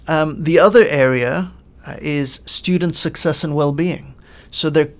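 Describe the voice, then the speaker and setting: A middle-aged man from Lebanon talks through ideas as a podcast guest, pausing often with small fillers. His voice sits a little high for a man and has a gravelly edge. He sounds thoughtful and methodical. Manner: measured, explanatory, with frequent uh fillers. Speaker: guest, coaching in education expert. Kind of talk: podcast interview answers.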